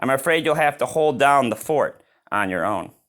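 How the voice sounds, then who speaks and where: A man's voice: mid-range voice, expressive, moderate pace, fairly bright tone, natural voice. A man voicing a helpful, easygoing father bear from a children's storybook, speaking in a kindly, obliging way.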